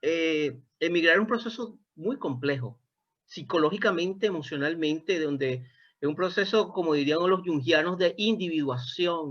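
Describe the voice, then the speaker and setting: Venezuelan man, reflective interviewer, lo-fi sound. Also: on the high side. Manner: thoughtful, expansive, self-correcting. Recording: dull lo-fi sound.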